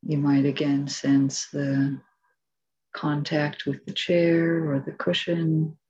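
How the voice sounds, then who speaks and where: A woman meditation teacher giving guided instructions in a soft, gentle, unhurried way. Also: low voice, level tone, breathy edge. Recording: dull, muffled lo-fi recording.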